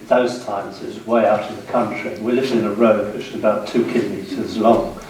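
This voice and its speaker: Distant microphone, faint noise, British man in his sixties, measured lecture delivery, short phrases with pauses. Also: lowish voice, fairly even intonation, a little mellow. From United Kingdom, west of London. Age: 60s. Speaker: guest lecturer. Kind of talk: public history lecture. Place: indoor seminar room, speaking to an audience. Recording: very echoey room, distant mic, faint noise.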